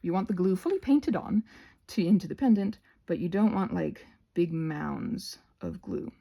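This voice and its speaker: A woman's voice: lowish voice, sing-song, moderate pace, gravelly, dark tone. A woman giving a casual, rambling craft tutorial, often interrupting herself with playful asides.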